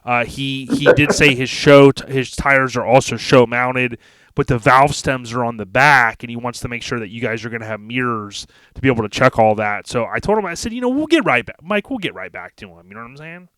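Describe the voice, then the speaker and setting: A man's voice: medium pitch, brisk, fairly bright tone, clean tone, no room sound. A man hosting a podcast in a casual, folksy, slangy way, mixing chat with sponsor reads. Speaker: podcast host.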